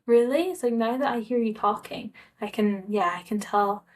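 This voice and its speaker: A woman's voice: fairly high pitch, moderate pace. A woman from Scotland with a Scottish accent, telling a personal story in a hesitant, rambling, conversational way, like a casual vlog. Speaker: travel vlogger.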